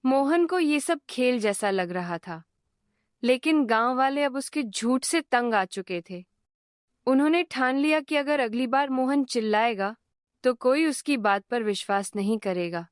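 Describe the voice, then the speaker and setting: A woman narrating a moral cartoon story in a calm, measured voice-over. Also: high voice, no room sound, clean studio sound.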